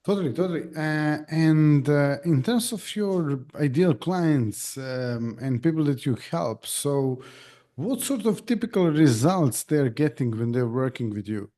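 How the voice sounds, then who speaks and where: Man, podcast host, hesitant, slightly high pitch, warm mellow tone. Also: expressive, a little slow. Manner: hesitant, stumbling restarts. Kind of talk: interview hosting.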